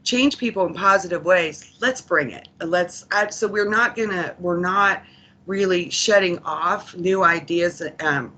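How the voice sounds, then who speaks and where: A woman's voice: lowish voice, fairly bright tone. A woman giving an earnest committee report as a program official, reflective and emphatic, repeating phrases for stress. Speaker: corrections program official presenting.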